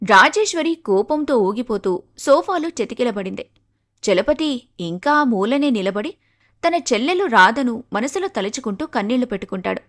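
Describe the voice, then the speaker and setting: A woman's voice: a little high, animated, normal speed, a bit bright. A woman giving scripted narration of a moral story.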